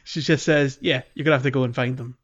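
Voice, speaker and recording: A man's voice: medium pitch, steady tone, quick pace. A man co-hosting a podcast, explaining in an agreeable, casual conversational way. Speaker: podcast co-host. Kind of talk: casual chat, explaining a game. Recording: dull lo-fi voice track.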